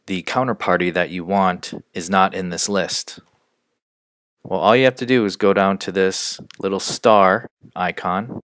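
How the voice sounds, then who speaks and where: A man narrating an instructional screencast as a software trainer, explaining each step in a measured way. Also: deep voice, no room sound, studio quality.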